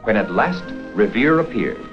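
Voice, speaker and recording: A man reading scripted documentary narration for an educational history film in a formal, measured storytelling manner. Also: lowish voice, sing-song. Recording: dull 16mm film soundtrack with music.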